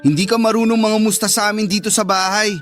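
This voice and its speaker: High-voiced, indignant young Filipino man. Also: flat delivery, quick pace, a bit bright, soft background music. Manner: scolding, emphatic. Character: indignant, reproachful younger brother, dutiful young adult son. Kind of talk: Filipino radio drama acting.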